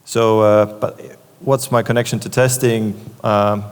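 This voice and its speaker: Male moderator, low voice, loose, rambling, long hall reverb. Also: level tone, unhurried, smooth. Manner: loose, filler-heavy. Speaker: moderator, conference co-founder. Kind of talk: moderating a conference panel. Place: conference stage in a large hall.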